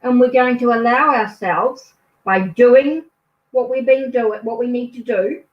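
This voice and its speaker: Tarot reader, a woman with a New Zealand accent, higher voice, reassuring, recorded far from the mic in an echoey room. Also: measured pace. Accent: New Zealand English. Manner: reassuring, ends phrases with a questioning "okay?". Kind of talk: tarot card reading for a viewer. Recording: echoey, distant, dull lo-fi sound.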